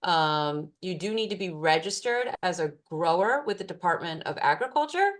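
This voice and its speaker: A woman's voice: on the low side, lively intonation, moderate pace. A woman, a food safety specialist, answering participants' questions in an online class in a casual way with many fillers.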